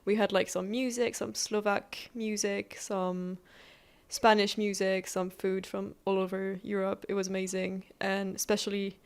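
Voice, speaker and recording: A woman's voice: mid-range voice, little pitch movement, a little slow, clear, resonant tone. A twenty-year-old woman from France co-hosting a podcast, telling a story with enthusiasm. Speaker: podcast co-host. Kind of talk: casual chat.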